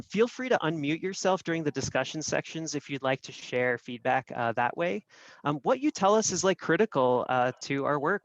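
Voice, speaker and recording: A man's voice: mid-range voice, fairly bright tone, no room sound, lo-fi sound. A man co-hosting an online event in a casual, filler-heavy way over a video call. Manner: hesitant, filler-heavy delivery. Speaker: event co-host. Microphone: video call microphone.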